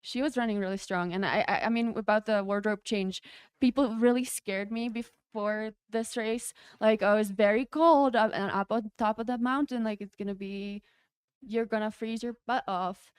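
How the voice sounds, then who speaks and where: A woman's voice: mid-range voice, steady tone, moderate pace, clean tone, dry recording, studio quality. Giggly hesitant woman from the Czech Republic. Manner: giggly, hesitant, many fillers. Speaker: race champion guest. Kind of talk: interview answers. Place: race broadcast studio.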